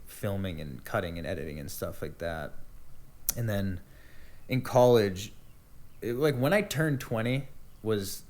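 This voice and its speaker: A young man in casual podcast chat, laid-back and rambling, swearing freely as he tells his story. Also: on the low side. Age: young adult. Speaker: podcast guest.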